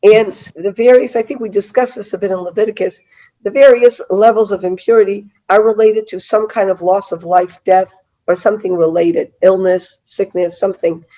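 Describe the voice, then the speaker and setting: Hoarse woman teaching an online Bible class. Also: medium pitch, fairly even intonation, moderate pace, dark tone, highs cut off. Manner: chatty, many fillers and restarts. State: hoarse from a cold. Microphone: video call.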